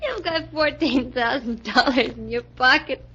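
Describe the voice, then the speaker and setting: An actress playing an excitable, sardonic gangster's girlfriend on the run in an old radio drama, slangy and melodramatic. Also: high voice, measured pace, breathy edge, exaggerated voice. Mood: giddy, hysterical. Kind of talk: 1940s radio drama acting. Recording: muffled old-time radio recording, some noise.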